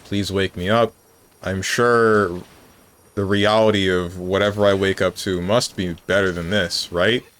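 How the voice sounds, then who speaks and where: A man streaming a video game, chatting casually with his viewers and joking around, with bursts of mock excitement. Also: low voice, unhurried. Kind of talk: casual live-stream chat while gaming. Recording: game music playing underneath.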